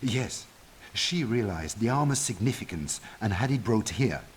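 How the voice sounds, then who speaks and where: A man's voice: lowish voice, expressive, moderate pace, a little hiss or hum, natural voice. A man playing an earnest, courteous French archaeologist in a video game, speaking formally with a French accent. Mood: urgent, explaining. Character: earnest older male French archaeologist ally, anxious and courteous. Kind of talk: video game cutscene dialogue. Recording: prominent game music behind.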